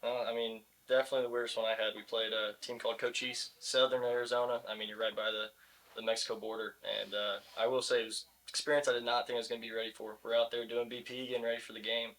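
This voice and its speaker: A man's voice: medium pitch, level tone, fast, a bit bright, rough recording. A young man answering questions casually as a college athlete and guest on a podcast. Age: young adult. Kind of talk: casual interview chat.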